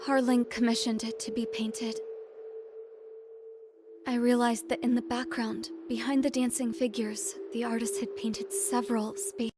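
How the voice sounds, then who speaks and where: Loud music, actress playing a thoughtful young princess, flat high voice, solemn game narration. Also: female voice, a little slow, lightly acted. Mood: reflective. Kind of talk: reflective narration in game drama.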